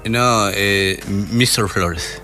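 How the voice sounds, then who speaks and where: A man's voice: lowish voice, extremely slow, pushed and bright, faint music behind. An Argentine man co-hosting a radio show with a Rioplatense accent, telling joking stories in casual chat. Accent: Rioplatense Spanish. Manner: anecdotal, joking storyteller. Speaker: radio co-host.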